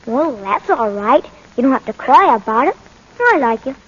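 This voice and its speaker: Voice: sits high, big pitch swings, moderate pace, a bit bright, breathy edge, exaggerated voice. A performer playing a streetwise, plain-spoken young boy with slangy speech in a 1940s radio drama. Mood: bewildered. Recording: muffled, noisy old 1940s radio recording.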